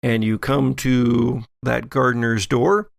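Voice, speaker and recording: A man narrating a tabletop horror game as game master, in a measured, halting storytelling manner. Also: medium pitch, steady tone, gravelly, dry recording, clean studio sound.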